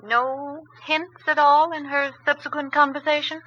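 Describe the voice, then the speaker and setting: An actress playing an earnest, resourceful young detective's assistant in a 1940s radio drama. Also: female voice, high voice, level tone, measured pace, sharp tone. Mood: concerned, curious. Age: young adult. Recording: muffled, noisy 1940s radio broadcast.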